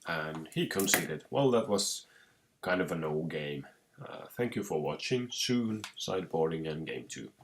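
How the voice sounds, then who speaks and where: A young man with a Finnish accent who is sick with a cold, commentating his own card game match with many pauses, restarts and throat clearing. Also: a bass voice, expressive, slight background noise. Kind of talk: casual gameplay commentary.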